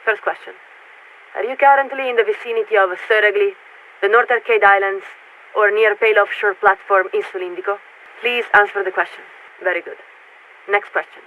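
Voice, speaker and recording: An actress playing a formal, detached warship officer who speaks for a committee in clipped, measured phrases through a radio filter in a video game. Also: female voice, mid-range voice, fairly even intonation. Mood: grave, commanding. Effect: radio transmission filter. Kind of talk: scripted video game voice acting. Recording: tinny, narrow-band radio with static.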